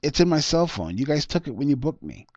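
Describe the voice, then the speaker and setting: A man voicing an anxious, suspicious arrested man in a short story reading, excitable and insistent. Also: fairly high pitch, fairly quick, dry recording, dull audio, voice pushed up. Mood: defensive.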